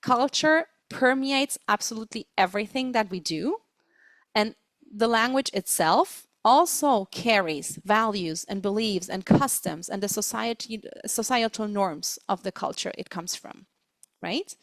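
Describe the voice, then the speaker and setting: A woman presents an online training lecture in a deliberate, explanatory way. She leans on key words to make each point. Her mid-pitched voice is recorded close and dry, with very little room sound. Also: lively intonation, no room sound. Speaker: webinar presenter, interpreting trainer.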